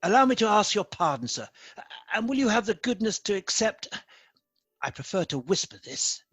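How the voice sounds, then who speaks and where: A man playing a reformed elderly miser in a radio play, an effusive and excitable old gentleman with a husky voice. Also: high voice, normal speed, no room sound, faint music behind, heavily acted. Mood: giddy gratitude. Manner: effusive, chuckling, stammering with excitement.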